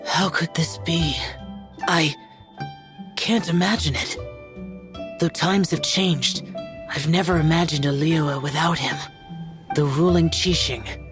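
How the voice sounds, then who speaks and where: An actor playing a stern, aloof young male immortal guardian in a video game, speaking gravely and formally. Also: mid-range voice, steady tone, takes it slowly, long pauses, natural voice. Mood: grave, troubled. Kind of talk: video game cutscene acting. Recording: music playing behind the voice.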